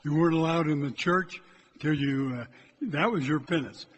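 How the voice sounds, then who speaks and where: An older priest preaches to his parish in a loud, direct voice that sits a little high for a man. His words ring out through a church with a long echo, and a faint hiss sits under the recording. He talks plainly and does not keep his voice low. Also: normal speed. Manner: loud, hard time keeping his voice low. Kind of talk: church exhortation. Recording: echoey church from a distance.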